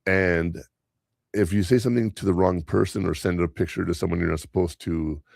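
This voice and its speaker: A man's voice: deep voice, steady tone, fairly quick, vocal fry, a little mellow, no room sound, clean studio sound. A man whose first language is Spanish, hosting a podcast in a casual, rambling way, telling stories from work and chuckling often. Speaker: podcast host and school teacher.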